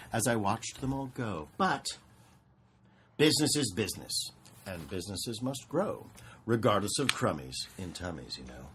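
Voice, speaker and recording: A man narrates a rhyming story aloud in a lowish voice with very lively intonation. The recording has faint noise behind him. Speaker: storybook reader.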